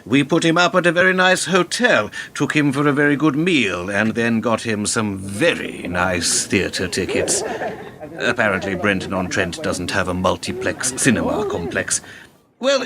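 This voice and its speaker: A forceful, rather low-voiced actor as an older male fixer in a radio play. He is smug and cynical, lecturing with pompous, condescending wordiness. There is faint noise behind him. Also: exaggerated voice.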